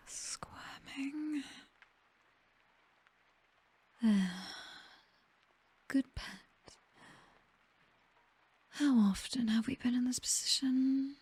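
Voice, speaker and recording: Female actor as British vampire mistress for ASMR roleplay: very soft dark tone, breathy whisper, possessive, condescending, seductive. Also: mid-range voice, dry recording. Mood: predatory, seductive. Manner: slow, teasing, softly commanding. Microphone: close microphone, dry.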